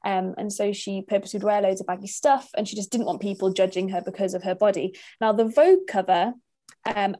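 A woman's voice: mid-range voice, a little fast, dark tone, no room sound. A young woman with a mixed northern English accent, co-hosting a podcast and explaining topics in casual chat with many fillers. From northern England. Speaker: podcast co-host.

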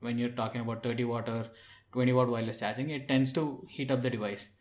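A man co-hosting a tech podcast, explaining things in a measured, conversational way, with a roomy, echoing sound. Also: medium pitch, steady tone. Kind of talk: casual tech discussion.

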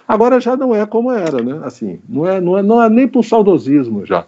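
A man with a mellow, darker voice talks casually as a guest, looping back over his words and leaning on fillers. The sound is a bit dull, as if carried over a video call. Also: high-pitched, big pitch swings, fast talker. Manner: rambling, repeats words with many fillers. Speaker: guest, writer and journalist. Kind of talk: casual interview chat. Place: home during pandemic.

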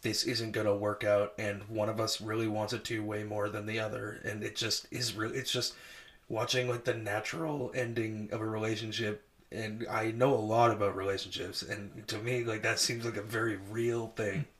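A man co-hosting a casual movie podcast, laid-back and soft-voiced, filling his talk with "like.". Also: fairly low pitch, flat delivery, normal speed. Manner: laid-back, says "like" a lot, self-described soft voice. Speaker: podcast co-host. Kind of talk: casual movie review chat.

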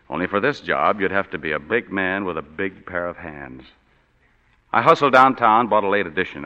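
An actor playing a wisecracking, hard-boiled private detective with dry, clipped narration, in 1940s radio acting. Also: male voice, low-pitched, pushed and bright. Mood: narrating. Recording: dull reverberant 1940s radio broadcast.

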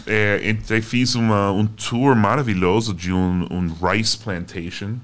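Low-voiced American man chatting. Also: fairly even intonation, measured pace. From Boston, United States. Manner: joking, chatty, many restarts. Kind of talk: casual chat.

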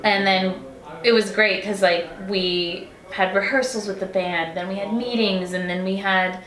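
A woman's voice: medium pitch, fairly even intonation, unhurried, a bit bright, roomy sound, low-quality recording. A young woman singer in a casual interview, talking in a chatty way, full of "like" and little acted-out quotes.

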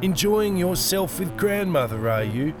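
A man's voice: sits high, big pitch swings, measured pace, voice pushed up, exaggerated voice. A man voicing an unhinged young man in his twenties, affected, melodramatic and foppish, in an audiobook over music. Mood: growling menace.

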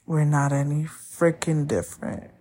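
A woman whose first language is Spanish, hosting a podcast and talking candidly about a breakup, often pausing to find her words. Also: sits low, steady tone, dark tone. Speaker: podcast host. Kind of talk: personal monologue.

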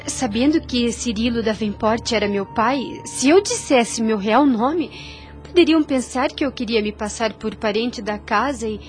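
A devout young radio drama heroine, played by a woman, speaks in a high voice with faint music behind her. She is earnest and self-sacrificing, with a formal, literary way of putting things. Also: natural voice. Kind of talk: radio soap opera acting. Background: music under the voice.

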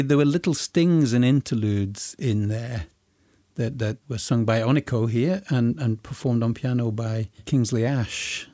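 A man's voice: mid-range voice, warm tone, dry recording. A man with a mid-range voice recorded in a dry, close room. He co-hosts the chat and talks about his music work in a modest, self-deprecating way. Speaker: podcast co-host and composer. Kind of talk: casual podcast conversation.

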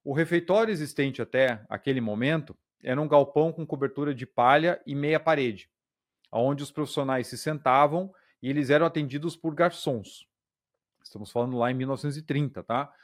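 Guy hosting a podcast, mid-pitched voice, chatty storytelling, keeps checking in with "tá?". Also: brisk. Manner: conversational, with frequent "tá?" and "né?" tags. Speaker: podcast host. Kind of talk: casual storytelling narration.

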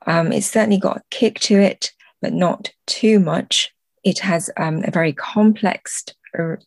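A woman explaining things as an interview guest, thoughtful and measured, pausing between phrases. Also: lowish voice, darkish tone, no room sound. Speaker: podcast guest, translator.

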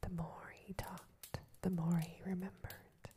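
A woman reading a fairy tale aloud as an ASMR storyteller, in a soft, steady whisper. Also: lowish voice, slow.

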